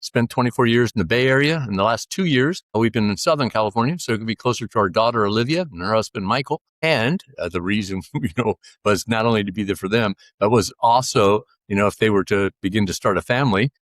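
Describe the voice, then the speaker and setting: A man's voice: fairly low pitch, fast, hardly any pauses, a bit bright, no room sound. A man in his sixties, a podcast guest, talking casually and rambling with many fillers and restarts. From United States. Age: 60s. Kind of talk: casual interview conversation.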